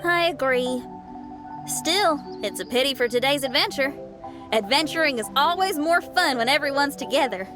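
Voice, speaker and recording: Casting: an upbeat young adventurer, high bright voice, casual game dialogue, music under her. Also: lively intonation, normal speed. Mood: friendly. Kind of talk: video game voice acting.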